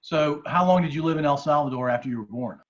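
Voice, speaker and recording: A man's voice: fairly high pitch, fast talker. A male podcast co-host asking chatty, joking interview questions. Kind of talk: casual interview. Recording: dull lo-fi sound with faint noise.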